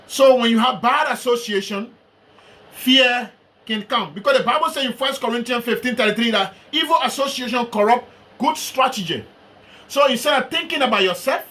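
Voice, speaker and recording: A man with a Liberian accent preaches in a slow, deliberate way. His voice sits very high and sounds bright and forceful. He drives each point home with scripture. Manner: slow, emphatic delivery with Bible references. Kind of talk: sermon.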